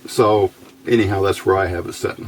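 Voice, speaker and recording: A man's voice: sits low, steady tone, a little fast, a little hiss or hum, low-quality recording. A man giving a casual, unscripted hands-on demonstration, explaining step by step in a plain, folksy way. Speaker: hobbyist presenter demonstrating electronics test equipment.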